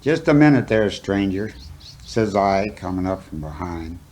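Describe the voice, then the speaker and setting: A man's voice: low-pitched, lively intonation, darkish tone. A man reciting a cowboy poem as a dramatic story, in a folksy, rustic manner. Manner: folksy, drawn-out phrasing with rustic dialect.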